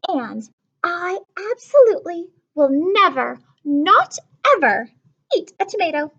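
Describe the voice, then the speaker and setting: A woman voicing a small, fussy, stubborn little girl in a storybook, with emphatic, drawn-out delivery. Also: extremely high pitch, big pitch swings, a bit bright, dull audio, pitched above the performer's own voice, full character voice. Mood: stubborn refusing. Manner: emphatic, declarative, drawn-out refusals.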